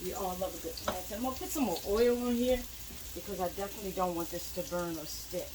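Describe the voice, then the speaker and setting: A woman's voice: on the low side, fast, background noise. A young woman, a cooking vlogger, chatting casually as she fries food in her home kitchen and moves around the stove.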